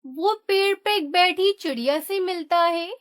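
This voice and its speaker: Female narrator for children's story, very high-pitched, unhurried scripted read. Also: fairly bright tone.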